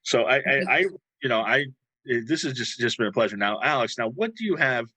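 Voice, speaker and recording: Male host, rambling and teasing, very bright tense tone, dry close mic. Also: medium pitch, lively intonation, a little fast, husky, no room sound. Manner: rambling, repeats words, teasing. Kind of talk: casual interview chat.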